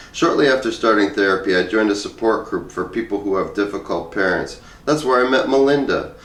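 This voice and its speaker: Low-voiced man reading in an echoey room. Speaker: reader of a humorous essay. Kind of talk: comedic first-person essay read aloud. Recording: roomy echo, distant microphone.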